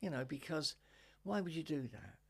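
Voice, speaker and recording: Older woman, deep gravelly voice, comedian, wry relaxed storytelling. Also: moderate pace, crowd noise. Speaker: guest, stand-up comedian. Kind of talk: casual interview chat, storytelling.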